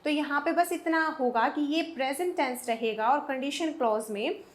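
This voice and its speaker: High-pitched Indian woman teaching. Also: moderate pace. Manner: instructive, repeats points for emphasis. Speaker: English grammar teacher. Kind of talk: explanatory grammar lesson.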